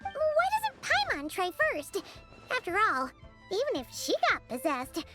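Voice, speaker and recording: A woman voicing a tiny, naive floating fairy companion with a squeaky, childlike, chirpy voice in an animated video game. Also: measured pace, over loud music, heavily acted. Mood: eager, indignant. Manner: chirpy, speaks of self in third person.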